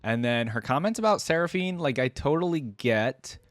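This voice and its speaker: Young male reaction host, average pitch, dry close sound, casual and rambling. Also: lively intonation, normal speed, no room sound. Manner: rambling, thinking aloud in loose asides.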